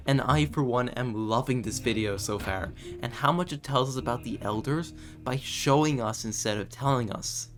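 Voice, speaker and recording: A man giving scripted commentary on a horror series in an emphatic, dramatic storytelling way. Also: medium pitch. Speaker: video commentator. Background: background music.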